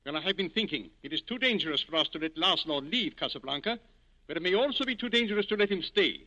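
Sharp, imperious German major. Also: male voice, on the high side, lively intonation, fast talker. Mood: calculating. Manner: clipped, imperious. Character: menacing, indignant male German military major. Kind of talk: 1940s radio acting. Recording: dull 1940s radio broadcast recording.

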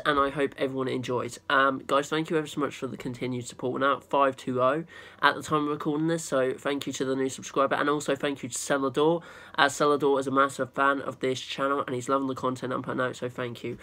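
A young man with a cold and a blocked-up nose, chatting quickly to viewers and singing along in a small room. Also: medium pitch, pushed and bright. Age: young adult. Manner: rapid, chatty, repeats "guys". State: stuffy from a cold. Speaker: YouTube singer, channel host. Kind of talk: casual vlog talk and karaoke singing. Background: backing music.

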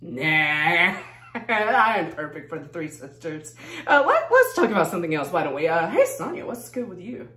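A woman's voice: on the low side, sing-song, a bit bright. A woman playing a grandiose, flighty, self-adoring actress in a comic monologue, theatrical and effusive. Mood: self-satisfied. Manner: theatrical, effusive, drawn-out exclamations. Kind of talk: comedic stage monologue. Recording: echoey room, distant microphone.

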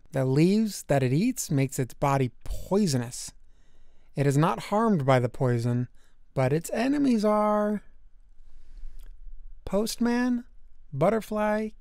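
A man narrating a children's nature book aloud, with deliberate, emphatic storytelling for young listeners. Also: fairly high pitch, darkish tone, no echo, clean studio sound.

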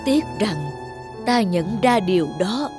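A woman's voice: mid-range voice, expressive, slow pace, over music. A woman voicing a regretful older lord in a cartoon, speaking reflectively. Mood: rueful. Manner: reflective, rueful.

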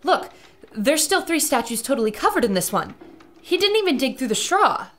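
An actress playing a sharp-witted adventurer in a pulp radio serial, brisk, articulate and dramatic as she reports to listeners. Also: high voice, sing-song. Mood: keen, deducing.

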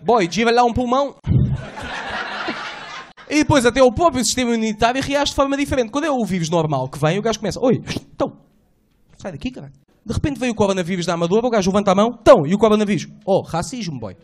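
A man doing a stand-up set in a small club, slangy and animated, acting out voices and sounds. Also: sits high, fast, slight background noise. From Portugal. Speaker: stand-up comedian. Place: small club stage, indoors. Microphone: handheld mic.

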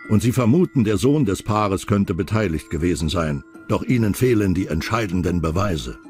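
A man's voice: on the low side, a little mellow. A man reads scripted documentary narration as the narrator of a crime series.

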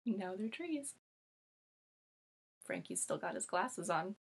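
A woman's voice: medium pitch, big pitch swings, takes it slowly, vocal fry, slightly rough. A middle-aged woman, a preschool teacher, reading a picture book aloud to young children from a room at home.

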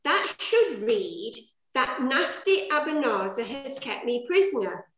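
A woman narrating and directing a scripted amateur pantomime over a video call, with declamatory, deliberate delivery. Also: high-pitched, very animated, fairly bright tone, echoey room, rough recording. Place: indoor room at home.

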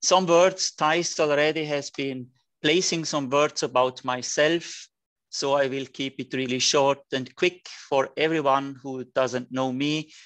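A man in his fifties with a Liechtenstein German accent gives a friendly online lecture, his phrasing a little halting. His voice sits in a middle pitch and comes through dry and close over a video call. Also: steady tone, a bit bright, dry recording. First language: German (Liechtenstein dialect). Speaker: dental technician educator.

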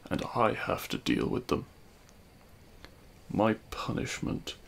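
A man playing a remorseful, self-loathing supervillain in an intimate audio roleplay, speaking in a halting, anguished way. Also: fairly low pitch, creaky, a bit bright, a little hiss or hum, heavily acted. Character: remorseful male supervillain confessing love at a grave.

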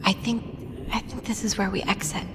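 Female tabletop player, medium pitch, relaxed game chat, faint noise and ambience behind. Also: a little fast.

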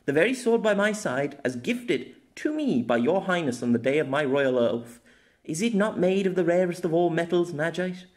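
A man's voice: a little high, animated, normal speed, pitched above the performer's own voice, close to an everyday voice. A man voicing a young noblewoman who reads aloud in a formal, declamatory way in a fantasy story. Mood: solemn. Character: proud young noblewoman reading a flowery plea aloud.

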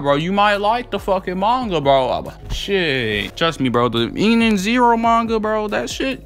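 A man's voice: high voice, fairly bright tone. A young man giving casual, unscripted commentary as a video creator, rambling in a slangy, profane, hyped and emphatic way. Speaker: video creator debating anime battle matchups.

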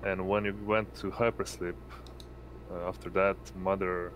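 A man running an online tabletop game as game master, speaking haltingly and hesitantly. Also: low voice, little pitch movement, a bit bright, a little hiss or hum. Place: indoors at home, online voice call.

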